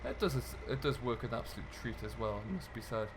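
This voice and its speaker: British man, chatty, over loud engine noise. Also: medium pitch, expressive, brisk. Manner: chatty, musing aloud, explanatory. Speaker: video game streamer, host. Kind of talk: casual commentary over farming simulator role play. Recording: clear engine and vehicle noise behind. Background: game tractor and loader engine sounds.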